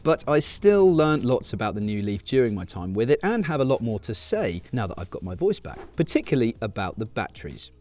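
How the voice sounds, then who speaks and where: A man giving a scripted car review as a voice-over, in a measured and informative way. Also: medium pitch, very animated, darkish tone, soft background music.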